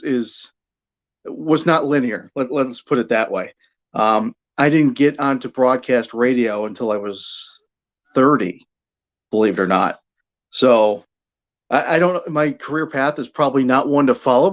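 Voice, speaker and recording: Dull-sounding recording of a candid American man. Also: mid-range voice. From Buffalo, New York area, United States. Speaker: sports radio play-by-play broadcaster, interview guest. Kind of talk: conversational career storytelling.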